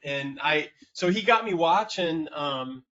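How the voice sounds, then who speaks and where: A man's voice: fairly high pitch, animated, takes it slowly, a crowd in the background. A man hosting a podcast, chatting in a friendly, easygoing way with his guest over a video call. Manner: friendly, chatty, laughs easily. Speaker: podcast host. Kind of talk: casual interview chat. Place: home room, video call. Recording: dull lo-fi video call audio.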